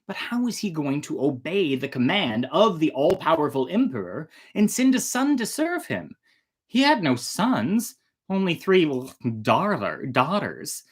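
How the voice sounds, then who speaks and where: Slow, very expressive woman telling stories. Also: deep voice, breathy edge. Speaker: storyteller and host. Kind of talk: expressive storytime reading of a fairy tale with casual asides.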